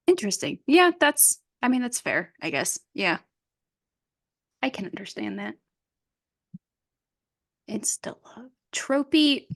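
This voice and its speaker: A woman's voice: a little high, big pitch swings, slow pace, pauses often, dry recording, studio quality. A woman co-hosting a casual podcast game, muttering clues to herself as she thinks. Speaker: podcast co-host. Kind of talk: casual chat, guessing game.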